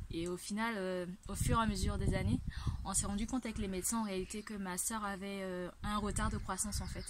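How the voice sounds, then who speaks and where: Woman in her twenties, from Ivory Coast, level intonation, earnest conversational vlog testimony, faint background noise. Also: mid-range voice. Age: 20s. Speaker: vlogger. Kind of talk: personal testimony, casual talk to camera.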